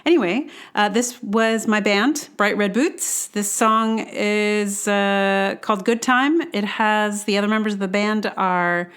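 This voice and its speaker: A woman's voice: mid-range voice, fairly even intonation, measured pace, hardly any pauses, a bit bright, smooth. A middle-aged woman hosting a podcast solo in casual, rambling talk that often interrupts itself and apologizes. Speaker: podcast host.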